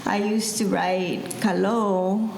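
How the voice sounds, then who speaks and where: A woman's voice: medium pitch, steady tone, takes it slowly, darkish tone. A woman in her forties from Guatemala and Los Angeles, casually telling her story as an interview guest. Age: 40s. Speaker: guest artist. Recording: roomy echo, clear background noise.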